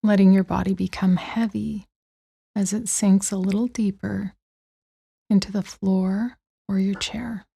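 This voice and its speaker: A woman guides a meditation with a soft, mellow tone and a creaky edge. She speaks gently and very slowly, letting long pauses settle between her soothing phrases. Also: mid-range voice, flat delivery. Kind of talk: guided body-scan meditation.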